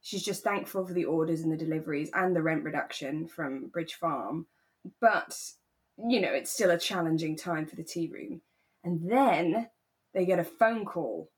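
A woman's voice: low-pitched, moderate pace. A woman, chatting casually and conversationally as a podcast co-host. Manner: relaxed, conversational. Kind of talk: casual chat about a radio drama.

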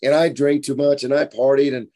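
A hoarse, tired man in his forties, hosting a fitness podcast in a frank, rambling motivational monologue. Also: mid-range voice, flat delivery, a little fast, warm tone. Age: 40s. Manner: rambling, frank, repeats himself.

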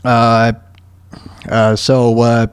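A man hosting a casual solo radio show, talking in a halting way as he thinks aloud. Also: mid-range voice, monotone, smooth, no room sound.

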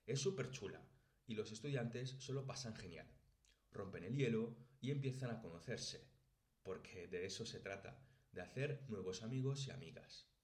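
Guy late 20s w/ spain spanish accent, lowish voice, reading out his podcast script, roomy echo. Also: animated, normal speed, a little mellow. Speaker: podcast host and language teacher. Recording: roomy, echoey sound.

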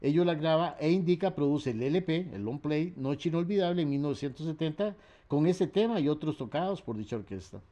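Mellow-voiced man hosting a music show. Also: a little high, lively intonation, fairly quick. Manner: reads facts in long runs, trails off with fillers. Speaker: radio host and researcher. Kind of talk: relaxed radio talk about music history.

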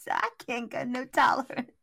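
A woman's voice: sits high, a little slow, vocal fry, sharp tone, no echo, studio quality. A woman co-hosting a casual film chat as a giggly, gushing fan. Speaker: podcast co-host.

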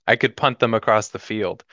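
A man's voice: medium pitch, fairly bright tone, no room sound. A twenty-one-year-old man in casual podcast conversation, easygoing and joking, on a slightly dull recording. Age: 21, young adult. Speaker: podcast guest or co-host.

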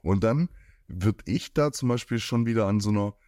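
A man's voice: fairly low pitch, lively intonation, moderate pace, mellow, dry recording, studio quality. A man from near Stuttgart hosting an interview, asking long rambling questions in a casual tone. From near Stuttgart, Germany. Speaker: podcast host.

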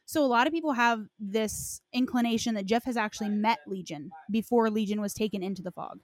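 A woman's voice: fairly high pitch, brisk. A woman hosting a casual discussion, speaking in a loose, conversational way with plenty of hedging. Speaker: host of a game lore discussion.

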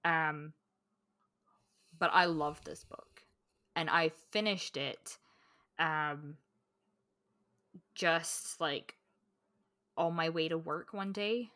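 Bright-voiced woman chatting casually, dry recording. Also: sits low, extremely slow, long pauses, dry recording. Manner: candid, sweary, self-interrupting. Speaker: podcast co-host. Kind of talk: casual book chat.

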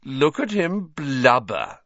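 A man's voice: medium pitch, huge pitch swings, unhurried, gravelly, breathy edge, no echo, dull audio, pitched above the performer's own voice, exaggerated voice. A man voicing a haughty, mocking teenage boy bully with a derisive manner in a storybook.

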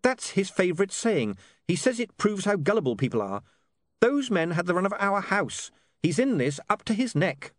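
A man's voice: sits high, sing-song, normal speed, no room sound, studio quality, voice pushed up. A man voicing an earnest, bitter young man of privileged birth in an audiobook, with a clipped, emphatic way of talking. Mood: flat, bitter.

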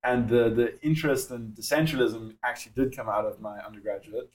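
South African man, young, founder being interviewed, steady explainer, mid-pitch voice. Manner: explanatory, measured, with frequent fillers. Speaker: startup founder. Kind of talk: podcast interview.

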